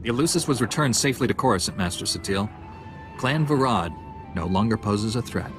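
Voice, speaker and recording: An actor playing a confident young Jedi Knight in a video game, plain-spoken and wry. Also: male voice, on the low side, lively intonation, brisk, music in the background, lightly acted. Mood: matter-of-fact.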